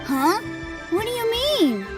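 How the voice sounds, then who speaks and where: An eager, boastful little boy from a video game. The voice is high and childlike, excitable and playfully grand. Music is clearly audible underneath. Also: takes it slowly, heavily acted. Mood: earnest, cheerful. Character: eager, boastful, good-hearted little boy playing swordsman.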